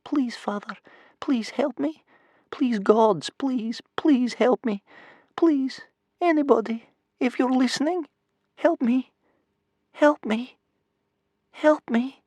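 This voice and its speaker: A man voicing a frightened small boy in a storybook, hushed and earnestly pleading. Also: a little high, sing-song, normal speed, hardly any pauses, dry recording, voice pushed up. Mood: desperate pleading.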